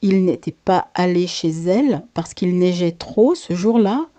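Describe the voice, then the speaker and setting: A woman's voice: lowish voice, lively intonation, unhurried, mellow, no room sound. A woman reading a scripted first-person life story as its narrator.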